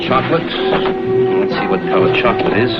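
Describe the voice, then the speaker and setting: A man's voice: mid-range voice, wildly swooping pitch, normal speed. A man playing a cool, wry private investigator in a vintage TV crime drama, speaking in clipped, laconic lines. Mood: guarded, skeptical. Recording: dull vintage TV soundtrack, loud music behind.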